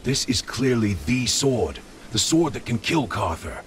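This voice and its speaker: An actor playing a stern, resolute medieval lord in a fantasy game, speaking gravely and commandingly. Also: male voice, mid-range voice, sing-song, a little slow, a bit bright, a little hiss or hum.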